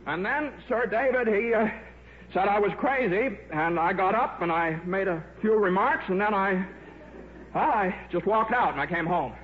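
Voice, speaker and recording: An actor playing a shy, absent-minded but stubborn scientist and gentle father in a radio drama, hesitant and repeating himself. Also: high-pitched, normal speed, close to an everyday voice. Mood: rambling, agitated. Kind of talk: 1950s American radio drama acting. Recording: muffled, noisy old radio broadcast.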